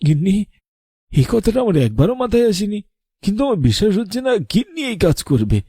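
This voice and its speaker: A man's voice: high voice, very animated, a little fast, warm tone, husky, dry recording, studio quality, heavily acted. A man voicing a polite, troubled elderly village father-in-law in a horror cartoon, hesitant and questioning. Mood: troubled, disbelieving.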